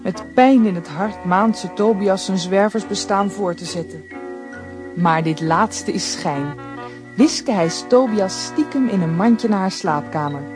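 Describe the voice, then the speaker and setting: A woman narrating a children's radio play, calm and measured. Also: medium pitch, music in the background. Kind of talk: scripted narration.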